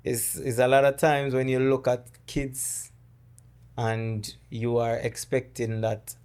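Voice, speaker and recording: A middle-aged man from Jamaica with a Jamaican accent, a podcast guest who recounts personal loss in a halting, word-searching way. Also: medium pitch, level tone, darkish tone, clear, resonant tone. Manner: halting, searching for words, with many restarts. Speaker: guest, dancehall artist. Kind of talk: conversational interview, storytelling.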